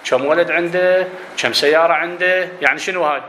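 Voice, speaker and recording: A man's voice: a little high, fast talker, bright, forceful tone, slight background noise. Iraqi man teaching in an echoey room. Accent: Iraqi Arabic. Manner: explanatory, asks students questions, slips into dialect. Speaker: grammar teacher. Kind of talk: classroom lesson on Arabic grammar.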